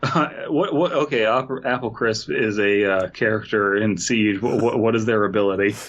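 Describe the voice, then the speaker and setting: A man's voice: on the low side, normal speed. A man co-hosting a podcast in casual banter with some swearing. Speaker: podcast co-host. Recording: slightly dull, lo-fi microphone.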